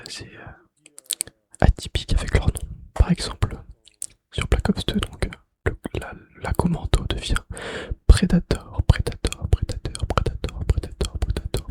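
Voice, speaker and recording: Whispering man doing soft ASMR narration. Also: high voice. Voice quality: unvoiced breathy whisper. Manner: repeats key phrases slowly and softly, with hesitations. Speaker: ASMR video host. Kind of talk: whispered ASMR narration of video game facts.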